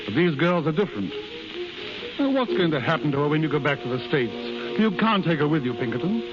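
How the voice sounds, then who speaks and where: A blunt, cautioning friend, vintage radio. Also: male voice, a little high, very animated, brisk. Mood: stern, cautioning. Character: concerned, cautioning American man, blunt realist. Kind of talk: 1930s radio drama acting. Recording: muffled 1930s radio recording, loud music.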